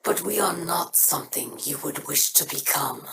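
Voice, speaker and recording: A grave, low alien leader. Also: female voice, animated, normal speed, background noise. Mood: solemn. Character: stern alien house leader, cold and solemn.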